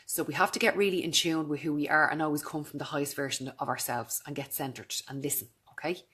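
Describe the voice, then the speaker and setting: A woman in her thirties with a naturally low voice, talking earnestly and directly to her camera in a vlog. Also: fairly even intonation, fast, fairly bright tone, husky. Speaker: vlogger. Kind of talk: casual talk to camera.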